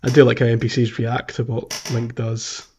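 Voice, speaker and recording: A man's voice: medium pitch, monotone, normal speed, noisy background. A man hosting a casual gaming discussion in a jokey way, sometimes acting out lines in character. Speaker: podcast host. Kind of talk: casual video game discussion.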